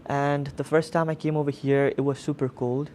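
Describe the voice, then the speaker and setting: A man's voice: on the high side, little pitch movement, normal speed, a little mellow, slight background noise. A man in his twenties from Tanzania, answering conversationally as a student guest in an interview. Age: 20s. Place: student television studio.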